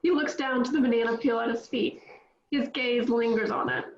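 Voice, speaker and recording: A woman reads screenplay directions at a table read, her voice a little high. The room is echoey and the sound is dull and lo-fi. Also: steady tone, moderate pace. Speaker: script reader.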